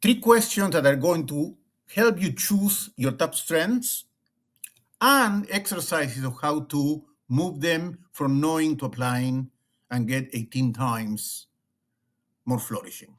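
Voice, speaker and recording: This is a middle-aged man from Argentina whose first language is Spanish, and his Argentine accent colors every line. He speaks as a guest in a remote interview with a fairly high voice, filling pauses with "eh" and "then" and repeating words as he builds his point. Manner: animated, repeats words and restarts often, uses "eh" and "then". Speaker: guest, leadership consultant. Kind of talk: conversational interview.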